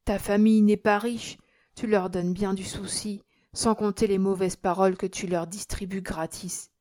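A shrewd, kindly country priest in a storybook, voiced by a woman reader. He speaks in measured phrases. His manner is calm and coaxing, with a gentle note of reproach. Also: medium pitch, lightly acted. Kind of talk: audiobook character acting.

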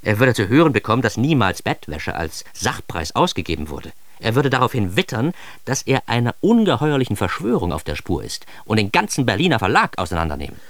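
A man's voice: mid-range voice, big pitch swings, brisk, no room sound. An actor playing a grown son narrating his embarrassed adolescence in a radio drama, with an ironic, mock-formal, theatrically indignant delivery. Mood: sardonic.

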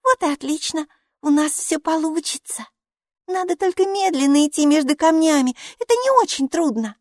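A woman voicing a brave, bossy little girl in an audiobook, speaking in a high, exclaiming child voice. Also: lively intonation, moderate pace, a little mellow, dry recording. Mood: coaxing. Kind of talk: audiobook character reading.

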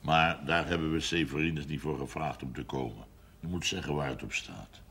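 An actor playing a gruff, stubborn middle-aged farmer with a gravelly voice and a curt manner in a period television drama. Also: male voice, very deep voice, normal speed, fairly bright tone, exaggerated voice. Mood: grumbling. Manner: curt, blunt. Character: gruff, stubborn farmer and father of seven sons. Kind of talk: 1970s Dutch television period drama acting.

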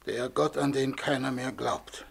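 An actor playing a helpless, forgotten old God, plaintive and lamenting, in a 1940s German radio play. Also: male voice, medium pitch, little pitch movement, a little slow, gravelly, breathy edge. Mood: despairing. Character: weeping, helpless old God nobody believes in.

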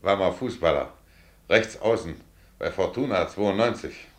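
Low-voiced, polite tram driver. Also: male voice, unhurried. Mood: casual, respectful. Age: 30s. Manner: deferential, plain, short answers. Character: polite, healthy 39-year-old male tram driver. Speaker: actor. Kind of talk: German radio play acting.

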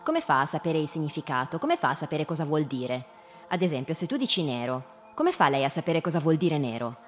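Low, animated woman asking probing questions. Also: a little fast. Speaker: questioner in a live radio play. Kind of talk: conversational dramatic questioning. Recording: very reverberant hall, faint music.

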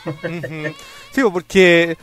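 Chilean man hosting a podcast, playful announcer energy, high and smooth voice, some music underneath. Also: level tone, extremely slow, fairly bright tone. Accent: Chilean Spanish. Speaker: podcast host. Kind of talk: casual podcast chat.

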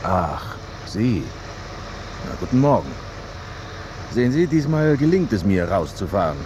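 A man's voice: lowish voice, sing-song, a little slow, dull audio. A male actor playing a sleep-deprived, wry neighbor who mutters and grumbles drily in a German radio drama. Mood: grudging, wry. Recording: clear background noise.

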